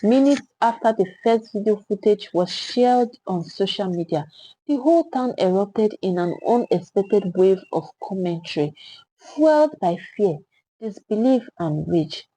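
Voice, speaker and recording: A woman's voice: mid-range voice, animated, a little slow, warm tone, slightly rough, dry recording, low-quality recording. A woman with a Nigerian accent narrating a scripted story in a solemn, theatrical, dramatic way.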